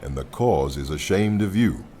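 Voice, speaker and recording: An actor in a radio play voicing a huge, overbearing anarchist leader, jovially mocking and menacing, with a theatrical, domineering manner. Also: male voice, low voice, lively intonation, moderate pace, dark tone, a little hiss or hum. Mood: gleeful scorn.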